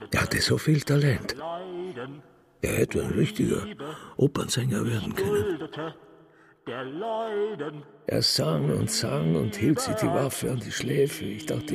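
An actor playing a distraught, bitter elderly father in a radio crime drama, halting and dropping into whispers. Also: mid-range voice. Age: older. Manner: halting, breathy drops into whispering. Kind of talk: radio crime drama acting, monologue and dialogue.

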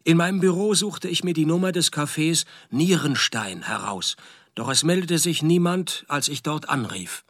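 Private detective for radio drama, slightly high voice, dry deadpan sarcasm, world weary. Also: male voice, moderate pace, dry recording. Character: sardonic, world-weary male private detective narrating his case. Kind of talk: deadpan radio play narration and dialogue.

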